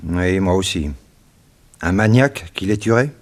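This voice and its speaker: An actor playing a sober, concerned police colleague in a 1960s French radio detective serial. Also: male voice, low voice, lively intonation, unhurried.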